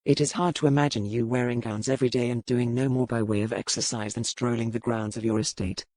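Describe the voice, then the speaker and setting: Lady doing a deep-ish pirate captain guy, resigned and commanding, formal talk, audiobook romance style. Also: fairly even intonation, fast, a little mellow, no echo. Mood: regretful, resigned. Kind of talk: dramatic audiobook character reading.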